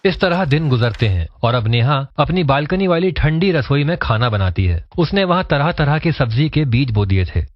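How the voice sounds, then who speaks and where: A man's voice: mid-range voice, lively intonation, moderate pace, few pauses, no echo. A man reading scripted narration for a cartoon story, in a dull lo-fi recording. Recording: dull lo-fi, dry sound.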